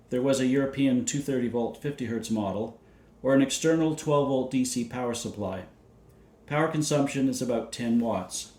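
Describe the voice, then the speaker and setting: A man presenting a scripted technical overview in a measured, matter-of-fact way, reading out details methodically. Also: medium pitch, darkish tone. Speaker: presenter of vintage radio videos. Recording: roomy, echoey indoor sound.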